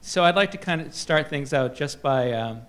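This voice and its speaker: A man's voice: medium pitch, lively intonation, fairly bright tone. A man moderating a book festival panel, reading out author introductions with frequent fillers and restarts. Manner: halting, many fillers and restarts.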